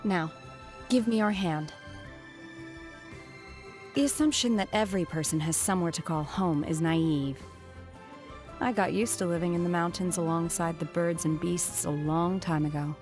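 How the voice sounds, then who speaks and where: Actress as aloof young female exorcist from the mountains, game character: lowish voice, solemn, formal, deadpan delivery, faint music underneath. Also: lightly acted. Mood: calm, detached. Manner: measured, detached, formal, softly deadpan. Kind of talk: scripted video game character voice lines.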